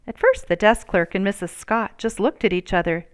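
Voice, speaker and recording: Smooth-voiced woman reading a children's story. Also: mid-range voice. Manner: expressive, deliberate storytelling. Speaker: storybook reader. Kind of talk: scripted children's story read-aloud.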